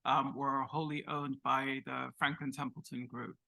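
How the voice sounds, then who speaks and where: A man on a conference panel speaks deliberately, with many small pauses. His voice is gravelly and has a bright edge to it, and the sound is dry and close. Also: mid-range voice, level tone, no room sound. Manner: deliberate, with frequent "um"s. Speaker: panelist, fund structuring lead.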